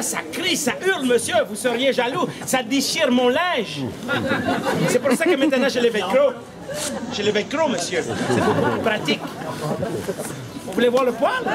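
High-pitched Québécois street comedian, man, amid crowd. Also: very noisy. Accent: Québécois French. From Quebec, Canada. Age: middle-aged. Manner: theatrical, projecting, playful teasing. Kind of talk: comic street show patter to an audience. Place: outdoor cobbled street among an audience. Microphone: distant camera in the crowd. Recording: outdoor street recording with loud crowd.

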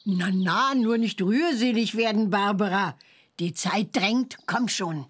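A woman's voice: medium pitch, takes it slowly, a little hiss or hum, natural voice. A woman playing a stern, impatient old witch in a cartoon game, brusque and scolding. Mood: brisk.

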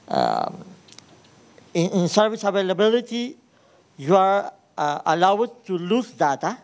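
A man from Ecuador with an Ecuadorian Spanish accent giving a technical conference talk in a large hall, hesitant with many fillers and restarts. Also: a little high. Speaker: conference speaker.

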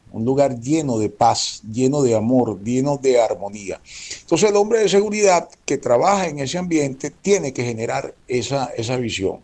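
A man's voice: medium pitch, a little mellow. A man with a Venezuelan accent giving an earnest interview answer, repeating phrases for emphasis. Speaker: guest, security company manager.

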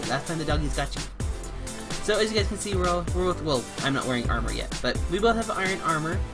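Chatty young man with a high voice. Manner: playful, chatty, talks to animals and co-player in a cutesy way. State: getting over a cold, clearing throat. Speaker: let's play host. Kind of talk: casual gameplay commentary.

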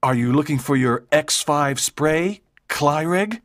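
A determined and curious schoolboy who stays calm and brave, played by a woman narrator. The intonation is lively and the pitch sits a bit low for the reader. It is storybook dialogue with a very dry sound. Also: normal speed, dry recording, exaggerated voice. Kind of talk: audiobook character dialogue.

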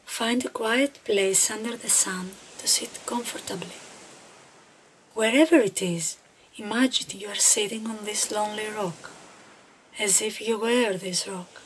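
Slow-paced woman guiding a meditation. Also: mid-range voice. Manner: gentle, unhurried, deliberate phrasing with pauses. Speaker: meditation guide. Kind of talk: guided meditation narration. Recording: clearly noisy, ambient background sound.

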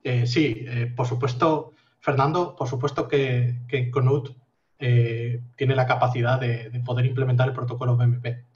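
A man in his thirties explaining his project on a video call from a home office, measured and full of fillers. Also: mid-range voice, level tone, a little mellow, muffled recording. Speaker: guest project founder. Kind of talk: casual video call interview.

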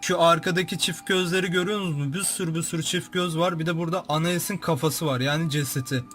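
A man's voice: a little high, fairly even intonation, fast, fairly bright tone, faint music behind. A young man giving casual, informal, exclamatory commentary as a YouTube host, describing what he sees. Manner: informal, exclamatory, narrates what appears on screen.

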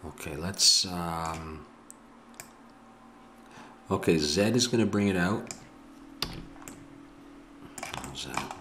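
A man's voice: low voice, expressive, drawn-out words, long pauses, sharp tone, a little hiss or hum. A middle-aged man with an Ottawa Valley Canadian accent, thinking aloud as he works through a 3D modeling tutorial at his desk and correcting himself as he goes. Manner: musing, self-correcting, talks to himself. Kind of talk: thinking aloud while working. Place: home room at a desk.

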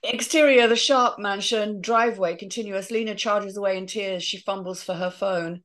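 A woman reads scene headings and actions from a script in an even, mid-pitched voice. She keeps it plain and clear, as in a video-call table read. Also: normal speed. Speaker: reader of stage directions. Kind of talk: reading stage directions.

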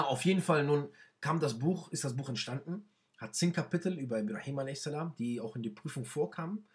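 A young man whose first language is not German, presenting his own book in a casual talk to camera from a small bedroom, hesitant with many fillers and restarts. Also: fairly high pitch.

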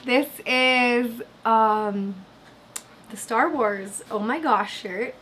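A woman in her thirties, slightly hoarse, chatting casually with viewers on a livestream from her bed, with lots of fillers. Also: fairly high pitch, very slow, fairly bright tone. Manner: chatty, reads chat aloud, many fillers. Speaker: livestream host. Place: bedroom, sitting in bed. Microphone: webcam framing.

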